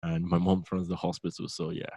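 A young man from Nigeria, a newly qualified physiotherapist, talking as a guest in a relaxed, rambling way and laughing often. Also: low-pitched, fairly quick, gravelly, dry recording. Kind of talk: casual interview chat.

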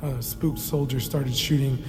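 An actor playing a troubled army therapist in an audio drama, haunted and burdened, with a halting delivery. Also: male voice, mid-range voice, level tone, lightly acted. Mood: somber, haunted. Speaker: military psychologist. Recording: long reverb, music underneath.

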